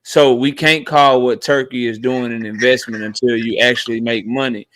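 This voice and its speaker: A man hosts a live boxing debate stream, making his case in a blunt, argumentative way and swearing freely. He speaks at a medium pitch. The recording is dry, with no room sound. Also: steady tone, moderate pace, fairly bright tone, no echo.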